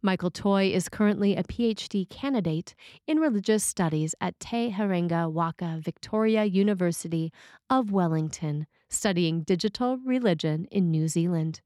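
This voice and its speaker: A woman's voice: lowish voice, moderate pace, dark tone, dry recording. Woman reading a sermon, dry sound.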